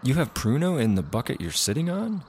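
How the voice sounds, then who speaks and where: A man's voice: medium pitch, sing-song, fairly quick, darkish tone, a little hiss or hum. A man playing an earnest, sober prison inmate in a comedy audio drama. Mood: matter-of-fact.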